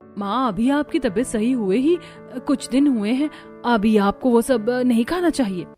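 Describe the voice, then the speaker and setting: A woman voicing a dutiful, expressive young daughter-in-law in an animated family story. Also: fairly high pitch, moderate pace, faint music behind, close to an everyday voice. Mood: dutiful, eager. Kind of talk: Hindi cartoon story acting.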